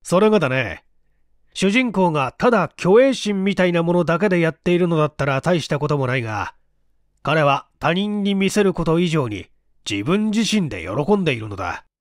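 Man playing a smug, animated storybook writer. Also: fairly high pitch, normal speed, no room sound, clean studio sound. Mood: absorbed, smug. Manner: self-satisfied, explanatory, rambling. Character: eager, smug male writer pitching his odd story.

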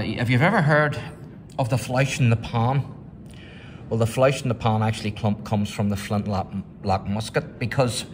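A man's voice: medium pitch, a little hiss or hum. A middle-aged man, filming a museum walkthrough on his phone and reading the display panels aloud with small stumbles and casual asides. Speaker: vlogger touring a museum. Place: indoor museum exhibit room.

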